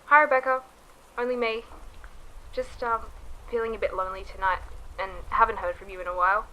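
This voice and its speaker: A woman's voice: on the high side, level tone, fairly quick, background noise, lightly acted. A woman playing a lonely, guilt-ridden young woman who pleads emotionally, in an amateur radio drama. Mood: lonely, apologetic.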